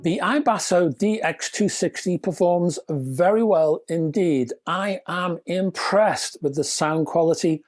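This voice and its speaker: A man presenting a scripted product review, speaking in measured, deliberate phrases with chatty, whimsical turns. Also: high voice, faint music behind. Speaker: reviewer and presenter of an audio gear channel.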